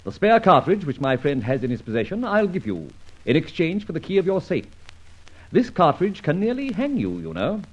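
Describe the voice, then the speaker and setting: An actor narrating and playing a suave, confident masked gentleman adventurer in an old radio drama, with a mocking, commanding manner. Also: a little high, wildly swooping pitch, normal speed, breathy edge, heavily acted. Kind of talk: 1940s radio acting. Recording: dull old radio recording.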